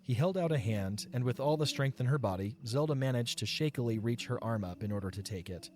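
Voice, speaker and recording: A man's voice: medium pitch, animated, fairly quick, darkish tone. A man narrating a scripted fantasy romance story as the narrator of an audio drama.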